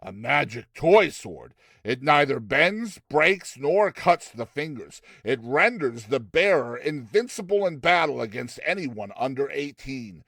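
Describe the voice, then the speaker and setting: Casting: smooth, obsequious male shop owner played by a male reader, faintly sinister showman, swooping delivery, dry close recording. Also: medium pitch, very animated, unhurried, a bit bright, dry recording, heavily acted. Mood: showy pitching. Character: suave, smiling, faintly sinister male magic shopkeeper. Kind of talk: dramatized storybook reading.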